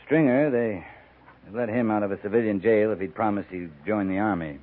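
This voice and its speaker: An actor playing a plain-spoken, steady cavalry captain in a 1950s radio western, terse and dryly understated. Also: male voice, on the low side, normal speed, lightly acted. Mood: dry, measured. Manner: terse, folksy, dry understatement. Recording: tinny 1950s radio broadcast recording.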